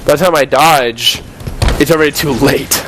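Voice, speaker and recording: A man chatting casually while playing a video game, excitable and given to mock ranting, on a noisy recording. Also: fairly high pitch, moderate pace. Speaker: gaming video host and player. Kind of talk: casual banter while gaming.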